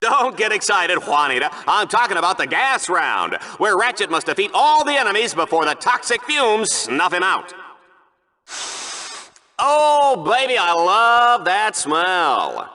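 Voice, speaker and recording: An actor playing a smug, excitable TV arena commentator in a video game, with a pompous, theatrical announcer delivery. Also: male voice, high-pitched, measured pace, exaggerated voice. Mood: animated commentary.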